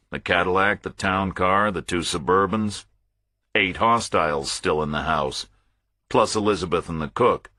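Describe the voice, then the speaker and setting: Deep, husky male thriller narrator. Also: sharp tone, no echo, studio quality. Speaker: audiobook narrator. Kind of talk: first-person thriller audiobook narration.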